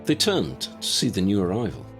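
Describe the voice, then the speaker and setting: A man's voice: low voice, wildly swooping pitch, moderate pace, faint music behind. A man reading scripted fiction as an audiobook narrator.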